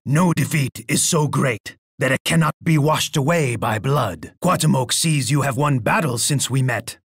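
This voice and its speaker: A bloodthirsty, imperious Aztec emperor from a strategy video game. His voice is heavily gravelled and rough, recorded dry and close. He delivers every line with pompous, theatrical menace, boasting grandly about himself. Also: on the high side, fairly bright tone, no room sound, full character voice. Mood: menacing, proud. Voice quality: gravelly, raspy. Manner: pompous, speaks of himself in third person.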